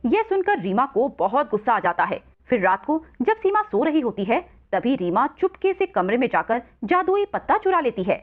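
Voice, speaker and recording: A woman's voice: fairly high pitch, big pitch swings, moderate pace, few pauses. A woman narrating a scripted children's story as storyteller, on a narrow phone-like recording.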